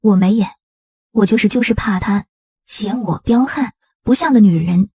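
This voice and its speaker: Husky, mellow actress as a tough young woman. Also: medium pitch, moderate pace, no room sound, studio quality. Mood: timid, pleading. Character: tough young female gangster, fierce yet tender with her lover. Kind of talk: Chinese motion-comic voice drama.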